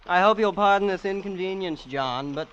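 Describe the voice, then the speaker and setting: An actor playing a smug young heir who boasts of his family's wealth in a calm, matter-of-fact way, in 1940s radio acting. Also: sits high, normal speed, slight background noise. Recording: dull old radio recording.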